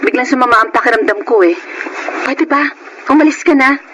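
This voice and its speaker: A woman's voice: high voice, brisk, background noise, lightly acted. An actress with a Filipino accent playing a proud, principled young woman teacher in an emphatic radio drama style. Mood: indignant.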